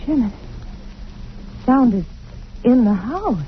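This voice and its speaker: A woman's voice: a little high, big pitch swings, drawn-out words, heavily acted. An American woman acting a fussy homemaking wife in a 1940s radio drama. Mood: uneasy. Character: fussy, homemaking wife, uneasy in new house. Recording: dull, noisy vintage radio recording.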